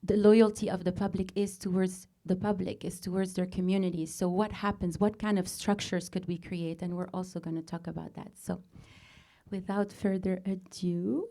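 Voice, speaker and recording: A woman in her forties from Lebanon, a native Arabic speaker, hosting a show and opening it with an earnest, deliberate monologue full of pauses and restarts. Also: medium pitch, steady tone, warm tone. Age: 40s. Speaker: host. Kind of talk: opening monologue to a live audience.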